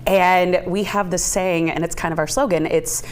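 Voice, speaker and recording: A woman's voice: fairly low pitch, steady tone, moderate pace. A woman with an American accent chatting effusively and casually as an interview guest. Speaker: theatre company co-founder, interview guest.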